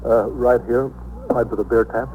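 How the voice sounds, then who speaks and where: A man's voice: medium pitch, phone-quality sound, slight background noise, natural voice. Man playing a nervous, evasive bartender on old radio. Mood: defensive, nervous. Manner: halting, defensive, excited. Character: nervous, evasive bartender, flustered murder suspect. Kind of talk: 1950s radio drama acting. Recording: old 1950s radio broadcast recording.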